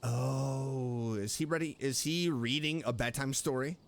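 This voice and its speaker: A woman's voice: very deep voice, measured pace. A woman streamer giving casual commentary as she plays a video game. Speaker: streamer, VTuber. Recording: game music behind the voice.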